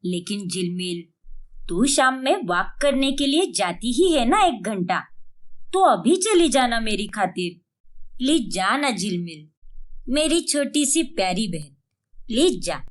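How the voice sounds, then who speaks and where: A bossy elderly sister in a domestic audio story, put on by a woman. She wheedles and grumbles with very animated intonation. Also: a little high, a little slow. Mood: wheedling. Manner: coaxing, then grumbling. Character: bossy, wheedling older sister with aching knees.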